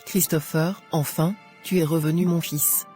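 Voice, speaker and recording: Woman acting a devout, gently counselling mother. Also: low-pitched, fairly even intonation, unhurried, faint music behind. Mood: relieved, thankful. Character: devout, proud middle-aged mother giving gentle counsel.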